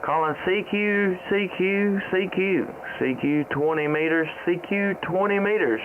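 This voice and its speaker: A man with a Southern US accent speaking on a ham radio live stream in a halting, easygoing, folksy way. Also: high-pitched. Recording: muffled phone-like audio with faint noise.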